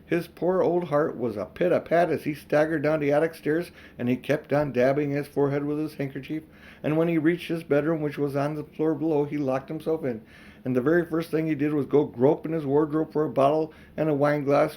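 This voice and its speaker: An older man reading a children's storybook aloud as expressive narration. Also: a little high, a little fast, rough recording. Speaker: audiobook reader.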